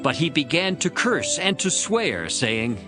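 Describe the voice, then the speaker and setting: Expressive male scripture narrator over music. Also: a little high, a little slow.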